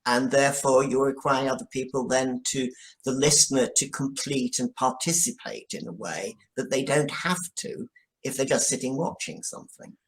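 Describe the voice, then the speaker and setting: A man giving reflective interview answers as a guest, explaining things in a thoughtful, halting way. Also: medium pitch, rough recording. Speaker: guest, drama writer.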